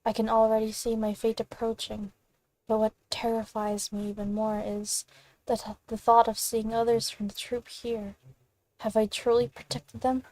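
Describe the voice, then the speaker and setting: A woman doing casual let's play commentary as a gaming streamer, halting at times and reading game text aloud. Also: on the high side, level tone, darkish tone, no room sound, low-quality recording.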